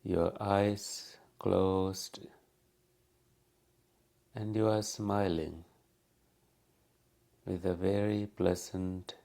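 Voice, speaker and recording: Very slow, low-voiced man guiding meditation. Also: gravelly. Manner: calm, unhurried, with long pauses. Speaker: meditation teacher. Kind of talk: guided meditation.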